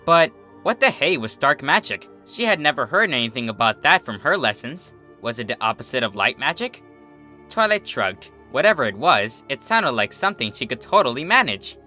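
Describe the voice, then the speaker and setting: A man reading fan fiction as a narrator, in an expressive scripted storybook style. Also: medium pitch, normal speed, soft background music.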